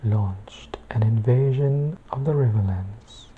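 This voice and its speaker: Very low, slow, hushed woman reading. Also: highs cut off, slight background noise. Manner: hushed, soft-spoken, often slipping into whisper. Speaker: book reader, ASMR channel host. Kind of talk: ASMR bedtime book reading.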